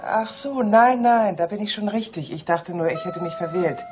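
A woman's voice: mid-range voice, moderate pace, close to an everyday voice. Actress as sensible dry-witted woman, polite, radio drama, music in background. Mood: polite, hesitant. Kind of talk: German radio drama acting. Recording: music behind the voice.

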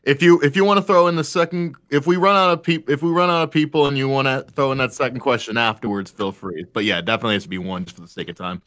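Man, medium pitch, informal, accommodating, casual panel moderator on voice chat. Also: quick pace, hardly any pauses, fairly bright tone. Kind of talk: casual hosting of a fan Q&A. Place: remote voice chat.

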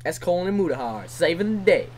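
A man's voice: sits high, expressive, quick pace, slight background noise. A man giving casual, rambling gameplay commentary, self-deprecating and given to swearing. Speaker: let's play commentator. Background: game music.

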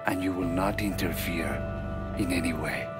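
An actor playing a proud, menacing drug dealer who speaks formally and deliberately in a video game drama. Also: male voice, deep voice, steady tone, over loud music, natural voice. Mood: cold, demanding.

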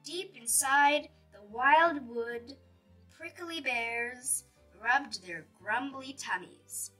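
A woman's voice: sits high, drawn-out words, bright, forceful tone, recorded from a distance, soft background music. A woman reading a children's picture book aloud expressively, with dramatic storytelling emphasis.

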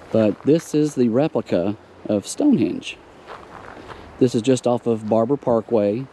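A man, giving a relaxed, easygoing tour of roadside sights outdoors, recorded on a camera mic. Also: lowish voice, slight background noise. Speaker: travel vlogger. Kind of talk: casual tour narration.

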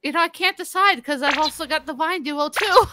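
A woman's voice: high voice, a little fast, very noisy. A woman gamer teasing her friends in casual chat while playing.

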